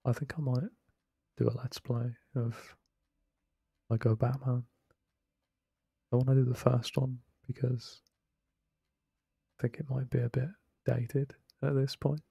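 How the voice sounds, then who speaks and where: Young man for a relaxed let's play, soft-spoken and self-deprecating, flat and mellow. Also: medium pitch, creaky, breathy edge, no room sound, studio quality. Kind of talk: casual late-night gameplay commentary. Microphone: close desk microphone, no webcam.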